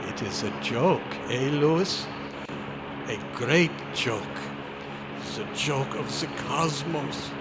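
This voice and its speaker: Man acting a slow, doom-laden scientist, noisy recording. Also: mid-range voice, close to an everyday voice. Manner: theatrical, oratorical, fatalistic. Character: doom-prophesying scholarly male scientist, grimly mocking humanity's end. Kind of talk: old-time radio horror acting. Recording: noisy live convention stage recording.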